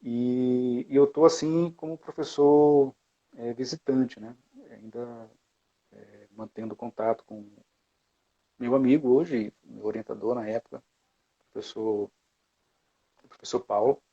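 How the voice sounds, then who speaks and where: A man's voice: mid-range voice, fairly even intonation, a little slow, long pauses, warm tone. Reflective man, dull lo-fi sound. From Brazil, Bahia. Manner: reflective, many fillers and restarts. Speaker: guest, music educator. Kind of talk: casual interview talk.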